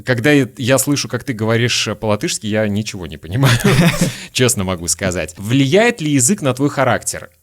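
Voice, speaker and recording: A man from Lithuania, a native Lithuanian speaker, hosting a relaxed interview podcast and asking long, searching questions. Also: mid-range voice, fairly quick. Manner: asks long, searching questions and shares his own stories. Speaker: podcast host.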